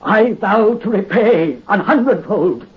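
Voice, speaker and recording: A man's voice: high voice, expressive, slow pace. A radio actor playing a dying, vengeful old executioner who commands his son, in 1930s radio drama style, on a dull old recording. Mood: grim, bitter. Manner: grave, commanding, fading into death.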